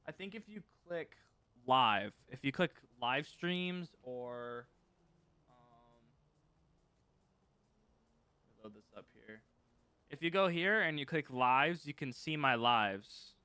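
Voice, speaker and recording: Crisp, bright-voiced man coding live. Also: medium pitch, expressive, slow pace, pauses often, dry recording. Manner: thinks aloud while working, loose and informal, reads chat and answers. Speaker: streamer teaching game-server scripting. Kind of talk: casual live coding stream.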